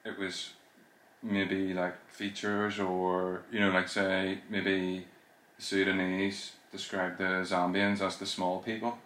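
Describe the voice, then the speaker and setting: Deep-voiced man chatting hesitantly. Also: level tone, takes it slowly, fairly bright tone. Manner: hesitant, many fillers and tag questions. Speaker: podcast co-host. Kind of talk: casual chat. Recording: echoey room.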